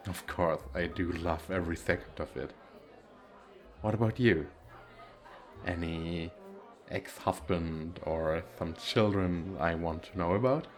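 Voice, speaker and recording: A man playing a gentle, awkward single dad who flirts shyly and hesitantly in an ASMR roleplay. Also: deep voice, takes it slowly, pauses often, soft background music. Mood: shy, amused. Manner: hesitant, self-deprecating, soft chuckles.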